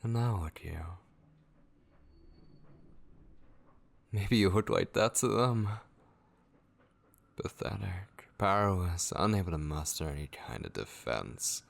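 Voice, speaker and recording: A man playing a sinister, obsessive voice in a horror ASMR roleplay, breathy and often whispering, taunting a captive vampire with theatrical menace. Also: deep voice. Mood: mocking, menacing.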